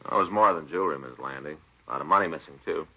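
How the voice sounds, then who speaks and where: A businesslike American detective's partner. He talks low and quickly, plainly and to the point. The old radio recording is muffled. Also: husky, exaggerated voice. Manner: plain, matter-of-fact. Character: businesslike male police detective sidekick. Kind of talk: 1950s radio drama acting.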